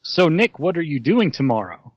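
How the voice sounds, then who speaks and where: A man's voice: a little high, big pitch swings, moderate pace, no room sound. A man playing an eager, gossipy teenage best friend in an amateur fan fiction read. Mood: casual, upbeat. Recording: slightly dull, lo-fi voice track.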